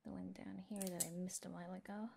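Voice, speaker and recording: A woman playing a friendly, gently teasing mecha mechanic in an ASMR roleplay, soft-spoken and often whispering, murmuring reassurance as she works. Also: on the low side, little pitch movement, gravelly, a little hiss or hum. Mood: gentle, focused. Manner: unhurried, murmuring reassurance while busy working.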